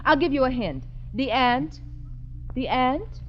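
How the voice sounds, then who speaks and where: Radio sitcom role: patient prim schoolteacher, animated, instructing, muffled noisy vintage sound. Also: female voice, high voice, slow pace. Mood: patient, coaxing. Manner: clear instructing classroom manner. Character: patient, prim night-school teacher, a woman. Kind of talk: 1950s radio comedy acting. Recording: noisy dull old radio, studio audience.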